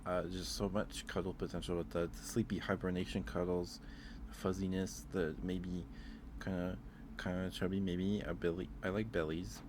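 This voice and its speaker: A man streaming casual ASMR chat, talking haltingly, often repeating words and trailing off. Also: deep voice, flat delivery, background noise. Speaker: streamer hosting a ranking.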